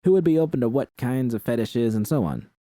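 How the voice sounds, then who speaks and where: A man's voice: medium pitch, lively intonation, brisk, dark tone, dry recording, clean studio sound. A man reading a first-person story as a scripted narration. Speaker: audiobook-style narrator of fan fiction.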